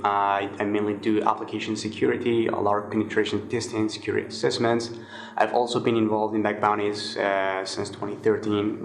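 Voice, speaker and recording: Twenties guy from Morocco, low steady voice, relaxed, filler-heavy interview answers. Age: 20s. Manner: relaxed, many fillers and restarts. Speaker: guest, security researcher.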